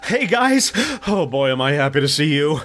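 A man's voice: on the high side, normal speed. A man playing a chatty, eager nerd who explains things in a comedic audio drama. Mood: relieved, chatty. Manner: animated, explanatory. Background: music.

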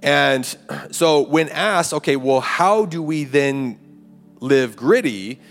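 A man preaching a conversational sermon to a campus ministry, his voice raspy and a little hoarse. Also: fairly high pitch, animated, slow. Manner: conversational preaching with rhetorical "right?" and "like". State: hoarse, clearing throat. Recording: live hall recording, long reverb, faint music.

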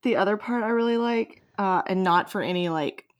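A woman's voice: mid-range voice, a little fast. A woman hosting a casual podcast discussion, informal and jokey in how she talks. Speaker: podcast host.

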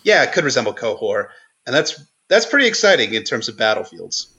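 A man with a bright, forceful voice analyses fiction in a conversational way. He is earnest and thinks aloud, pausing and restarting as he builds a point. The audio is a little dull and lo-fi. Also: mid-range voice, sing-song, husky. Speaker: guest co-host on a book podcast.

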